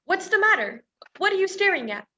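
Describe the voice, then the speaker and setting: A woman's voice: very high voice, big pitch swings, brisk, pushed and bright, lo-fi sound, exaggerated voice. A woman playing a fretful, reluctant young female companion, flustered and doubting, in a radio play. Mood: anxious.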